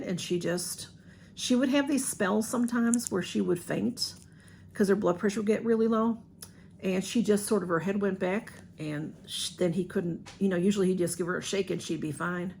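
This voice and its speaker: A woman with a Southern US accent speaks casually to her camera at home, her voice a little nasal and stuffy. There's a gravelly, fry-touched edge as she rambles along in a soft, heartfelt way, and faint noise sits under the recording. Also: on the low side. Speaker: vlogger.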